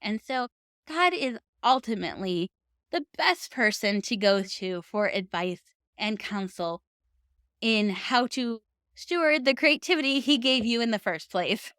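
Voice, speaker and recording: A woman's voice: fairly high pitch, big pitch swings, unhurried, fairly bright tone, clean tone, no room sound, studio quality. A woman speaking as a podcast host, giving advice in an earnest, conversational and encouraging way. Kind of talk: encouraging advice talk to camera for writers.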